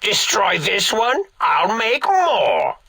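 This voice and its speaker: An actor playing a manic, cackling old mad scientist villain in a video game, theatrical and grandiose, with a raspy, gravelly voice and drawn-out delivery. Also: male voice, sits high, huge pitch swings, pushed and bright, heavily acted. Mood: indignant, manic.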